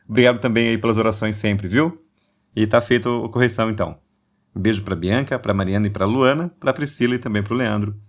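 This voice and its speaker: A man's voice: lowish voice, fast talker. Nasal Brazilian man with a cold. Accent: Brazilian Portuguese. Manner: chatty, warm asides, many tag questions. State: stuffy with a cold. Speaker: podcast host. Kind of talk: casual religious podcast chat.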